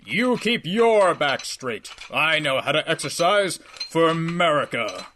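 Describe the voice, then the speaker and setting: A man's voice: fairly high pitch, lively intonation, unhurried, bright, forceful tone, a little hiss or hum. A man playing a brash, competitive, muscle-bound army sergeant full of boastful bravado in a comic radio adventure. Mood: taunting. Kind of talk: parody radio adventure acting.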